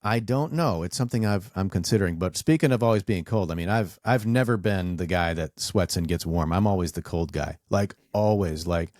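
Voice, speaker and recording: Deep-voiced man hosting a relaxed chat. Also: a little fast, hardly any pauses, no echo, studio quality. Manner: relaxed, rambling storyteller with many fillers. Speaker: podcast host. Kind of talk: casual interview chat.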